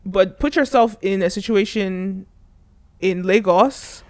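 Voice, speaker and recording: A man hosting a casual podcast discussion, talking quickly and animatedly into a studio boom mic. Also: no room sound. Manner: fast, animated, gestures while talking. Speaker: podcast host. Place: studio lounge with red curtains and leather armchairs. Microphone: studio mic on boom arm.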